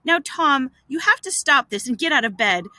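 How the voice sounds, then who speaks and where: A woman voicing a storybook aunt, an older guardian who is brisk, scolding and soft-hearted, with emphatic fussing. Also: high-pitched, very animated, heavily acted. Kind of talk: storybook character voice.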